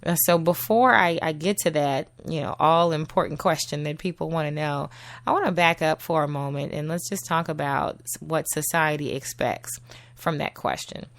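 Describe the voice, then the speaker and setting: A woman with a low, gravelly voice gone husky from a cold, talking through a solo show in a chatty, easygoing way. She laughs often and leans on little fillers. The sound is close and dry. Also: moderate pace, dry recording. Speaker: podcast host. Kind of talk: solo casual talk show.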